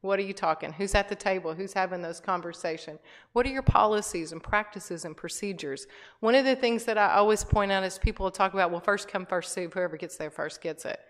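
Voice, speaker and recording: A woman's voice: mid-range voice, brisk. A middle-aged woman giving a conversational talk to a church group, with many fillers and restarts. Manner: conversational, frequent fillers and restarts, laughs lightly. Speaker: guest speaker. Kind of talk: informal talk to a church audience. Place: church hall.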